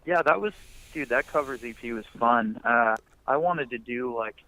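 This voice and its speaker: A man's voice: mid-range voice, moderate pace, pushed and bright. A young man musician talking casually in a phone interview, laid-back and rambling with many fillers. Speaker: musician guest. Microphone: phone line. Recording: muffled phone line.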